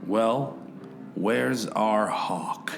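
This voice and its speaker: A man's voice: on the low side, drawn-out words, gravelly, full character voice. A man playing a hard-boiled, brooding private eye who narrates and talks wryly in a noir radio play. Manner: melodramatic, wry. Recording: roomy echo, faint music bed. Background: faint music.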